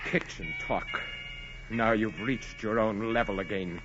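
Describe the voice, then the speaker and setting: An actor in 1940s radio style playing an arrogant, tormented aristocratic husband who speaks formally and with sardonic coldness. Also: male voice, fairly low pitch, measured pace, fairly bright tone, faint music behind. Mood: icy contempt. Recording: muffled vintage 1940s radio recording.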